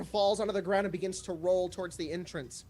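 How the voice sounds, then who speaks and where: A man's voice: high voice, normal speed, noisy background. A man narrating an improvised tabletop game as game master, setting scenes in a dramatic way.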